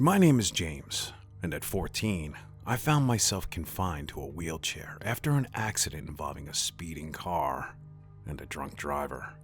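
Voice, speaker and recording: A man reading a scripted first-person scary story in a dramatic, ominous manner. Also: low-pitched. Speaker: horror story narrator. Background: faint music.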